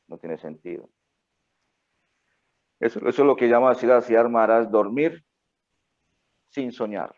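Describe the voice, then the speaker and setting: A very deep-voiced man gives an unhurried spiritual lecture, checking in with his listeners after almost every phrase. His voice comes through a thin, phone-like line, as if over a video call. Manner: slow, reflective, rhetorical "¿no?" after each phrase. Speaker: spiritual teacher. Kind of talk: online class lecture. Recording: phone-like narrow audio.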